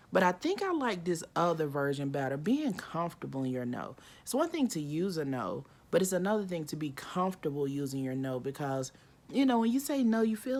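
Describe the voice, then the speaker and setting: A woman in her early forties talking casually and reflectively to camera, with many "like" and "um" fillers, acting out inner voices with sudden pitch jumps. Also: darkish tone. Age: 40s. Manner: frequent "like" and "um" fillers. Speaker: vlogger.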